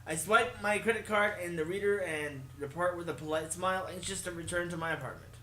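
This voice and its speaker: A man hosting a let's play, reading a visual novel aloud as expressive narration and occasionally rereading a line. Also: high voice, fairly quick, a little hiss or hum. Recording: echoey room, music behind. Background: game music.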